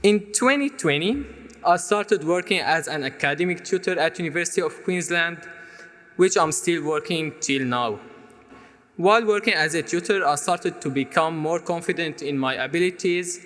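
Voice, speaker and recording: A young man with a Syrian Arabic accent delivers a prepared personal speech in a reverberant hall. His voice sits on the high side for a man and has a bright, projected edge. He is a native Arabic speaker in his twenties. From Aleppo, Syria. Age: 20s. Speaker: student speaker at an event.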